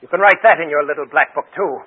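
A man's voice: a little high, fast talker, slightly rough. An actor playing a resentful, sarcastic male ballet dancer in an old-time radio drama, intense and defensive. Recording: tinny band-limited old radio recording.

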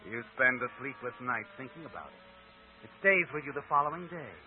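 An actor playing an ominous, knowing narrator who taunts like a conscience, insinuating and deliberate, in 1940s radio suspense style. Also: male voice, medium pitch, animated, slight background noise, close to an everyday voice. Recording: tinny old radio recording, music underneath.

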